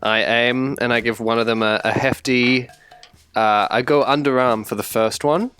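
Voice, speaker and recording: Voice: mid-range voice, steady tone, normal speed, fairly bright tone, smooth, soft background music. A New player chatting casually and improvising in a tabletop role-playing game.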